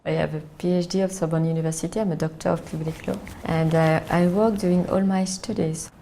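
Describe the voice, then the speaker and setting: A middle-aged Frenchwoman speaks halting, careful English with a strong French accent, pausing to search for words. Her voice sits low and mellow with a gravelly edge. A faint hiss of background noise sits under her. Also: steady tone. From France. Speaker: documentary interviewee. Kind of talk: reflective personal interview.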